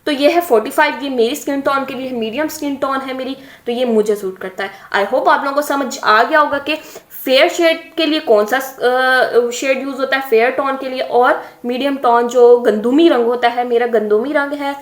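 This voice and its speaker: A woman's voice: high-pitched, normal speed, hardly any pauses. A young woman explaining makeup shades in a casual tutorial, chatty and full of hesitations. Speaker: beauty vlogger. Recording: echoey room.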